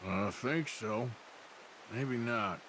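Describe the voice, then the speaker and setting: A man's voice: medium pitch, extremely slow, noisy background. A man playing a rugged, wry Wild West outlaw in a video game, folksy and laconic. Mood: subdued, unsure. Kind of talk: video game cutscene acting.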